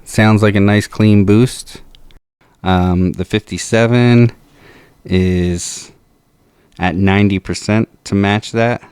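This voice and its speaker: Man, deep low voice, slow talker, dry close studio sound, measured technical demo. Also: fairly even intonation, a little mellow, smooth, no room sound. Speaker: video host. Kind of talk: microphone demo talk. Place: home recording studio. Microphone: close to a studio microphone.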